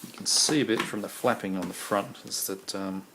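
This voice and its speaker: Man, hoarse, deep gravelly voice, rambling, noisy recording. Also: fairly even intonation, moderate pace. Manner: rambling, many ums and uhs. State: losing his voice. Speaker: video collector vlogger. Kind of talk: casual show-and-tell.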